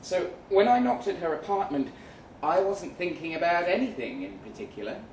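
A man reading a first-person short story aloud dramatically, with measured delivery and deliberate pauses. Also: sits high, sing-song, a little mellow. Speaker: short story reader at a live event. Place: event room in a pub. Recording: roomy, distant mic with faint noise.

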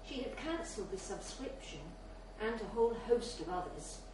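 A woman's voice: on the high side, lively intonation, normal speed. A woman reading a literary short story aloud as scripted narration at a live event in a bar. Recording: very echoey, distant mic, faint noise.

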